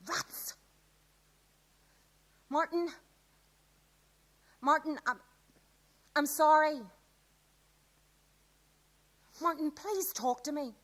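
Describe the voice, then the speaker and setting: Teenage girl, fairly high voice, touchy, affectionate, earnest, radio drama acting. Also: slow pace, long pauses. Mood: apologetic.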